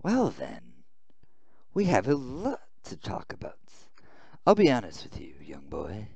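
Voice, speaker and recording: Man as a deep, blunt military interrogator. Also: huge pitch swings, a little slow, creaky, a little mellow, breathy edge, dry recording, dull audio, full character voice. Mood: menacing. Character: gruff threatening military boss interrogating a prisoner.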